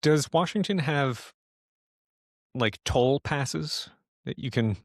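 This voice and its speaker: Male game master, mid-pitched, casual and sweary, thinking aloud in a dry recording. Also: expressive, no room sound. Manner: halting, profane. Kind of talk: improvised tabletop game narration and casual chat.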